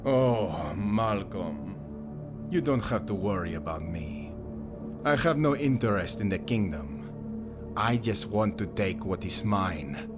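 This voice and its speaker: An actor playing a resentful, confident villain prince in an audio drama, curt and coldly menacing. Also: male voice, lowish voice, lively intonation, slow. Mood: cold menace. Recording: music behind the voice.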